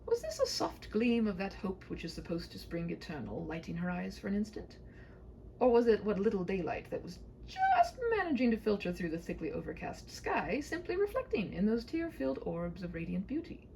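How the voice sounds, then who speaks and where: A woman's voice: mid-range voice, huge pitch swings, brisk, creaky, dark tone, a little hiss or hum, low-quality recording. A woman reading a humorous storybook aloud as narrator, with wry, theatrical delivery.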